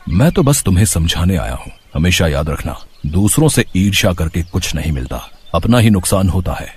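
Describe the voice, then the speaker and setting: A man playing a bold, protective god in human guise, firm, righteous and reassuring, in an animated moral story. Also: low voice, a little fast, a little hiss or hum. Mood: calm, admonishing.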